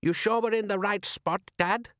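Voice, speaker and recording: A man plays a naive young fisherman's son in a storybook. The voice is pitched well up from the reader's own, plaintive and anxiously questioning, with a dry, close sound. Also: steady tone, normal speed, fairly bright tone, no echo. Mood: puzzled. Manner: high-pitched, querying, plaintive.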